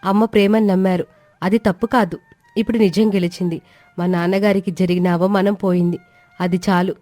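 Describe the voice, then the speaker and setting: Woman as forgiving young wife in a moral tale, calm, consoling, medium pitch, dry recording. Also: a little fast, no room sound, faint music behind, natural voice. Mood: gentle forgiveness. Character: gentle, forgiving young daughter-in-law from poor family.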